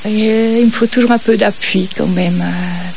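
An older woman, a radio guest answering questions in a hesitant, halting way. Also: mid-range voice, fairly even intonation, vocal fry, a little mellow, slight background noise, low-quality recording. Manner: hesitant, halting, with short agreeing replies. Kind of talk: casual interview answers.

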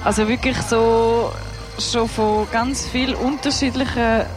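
A woman's voice: fairly high pitch, steady tone, unhurried. A woman with a Swiss German accent, a radio co-host bantering casually. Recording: music playing under the voice.

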